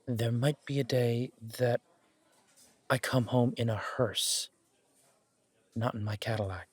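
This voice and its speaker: A man playing an earnest, protective, wry mafia boss on a first date in a romantic audio roleplay, speaking in a measured, intimate way. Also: mid-range voice, fairly even intonation, slightly rough, dry recording. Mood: earnest, grave.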